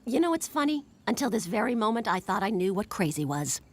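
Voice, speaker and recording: A woman's voice: mid-range voice, big pitch swings, a little fast. A woman voicing a brash, bossy know-it-all girl in a cartoon, with an emphatic, lecturing manner. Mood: dry. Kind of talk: cartoon voice acting.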